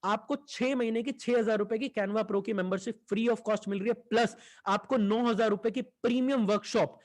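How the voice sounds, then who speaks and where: A young man, giving an enthusiastic sales pitch for an online business and mixing Hindi with English. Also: steady tone, moderate pace. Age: 20s. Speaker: online business team leader.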